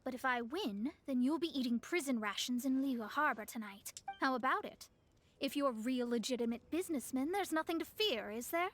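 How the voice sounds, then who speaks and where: A woman's voice: high-pitched, animated, moderate pace. An actress voicing a proud, confident young female astrologist in a video game, speaking with poised self-assurance. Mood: challenging.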